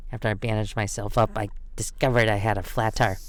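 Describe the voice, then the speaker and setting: Low-voiced man reading a picture book. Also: a little hiss or hum. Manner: expressive, dramatic pauses. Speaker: storyteller. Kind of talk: children's picture book read aloud.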